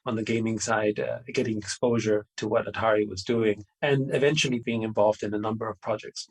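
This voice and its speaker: A man's voice: mid-range voice, creaky, husky, low-quality recording. A middle-aged man from Austria, answering interview questions in a rambling, conversational way with many fillers and restarts. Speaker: podcast guest.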